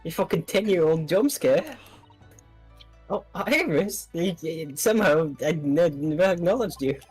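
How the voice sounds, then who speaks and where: A man with a husky voice, joking and play-acting casually while dubbing a game with others on a livestream. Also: a little high, animated, unhurried, soft background music, rough recording. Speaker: player in a group game livestream.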